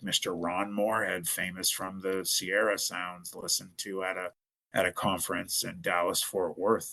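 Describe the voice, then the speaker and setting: A man's voice: deep voice, sharp tone, low-quality recording. A man from Ohio, a podcast guest, tells his story in an earnest, rambling way, often restarting his sentences. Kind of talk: casual storytelling.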